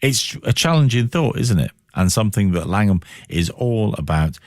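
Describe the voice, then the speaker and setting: A male radio host with a low voice that swings expressively in pitch, recorded close and dry. He interviews in deliberate phrases, building them up with repetition and pointed questions. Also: lively intonation, no echo.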